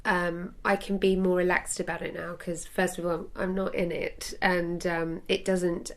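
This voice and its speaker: A woman's voice: lowish voice, level tone, a little slow. A woman in her thirties, chatting candidly and laughing easily in an interview at a recording studio. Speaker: actress interviewed. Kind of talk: casual interview chat.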